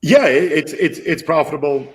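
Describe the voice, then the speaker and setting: A man's voice: on the high side, expressive, normal speed. A man speaking as a guest market maker in a casual conversation, rambling with many fillers and blunt swearing. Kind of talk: casual video-call conversation. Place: at home in his city.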